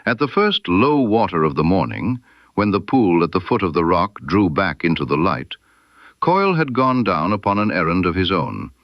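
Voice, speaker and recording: A man narrating a period detective story as an audiobook, in a measured, formal storytelling manner. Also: sits low, big pitch swings, dry recording, highs cut off. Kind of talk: scripted literary mystery narration in Victorian first person.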